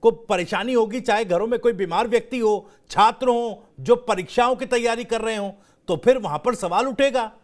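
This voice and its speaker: A man's voice: extremely high pitch, normal speed. A man anchoring a live TV news debate, putting pressing, challenging questions to panelists.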